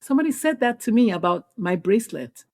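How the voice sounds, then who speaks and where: A middle-aged woman with a Nigerian accent talks through her experiences as a guest on a podcast. Her voice is mellow and warm, and her delivery is earnest and animated, with quick restarts when she collects her thoughts. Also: mid-range voice. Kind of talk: conversational interview.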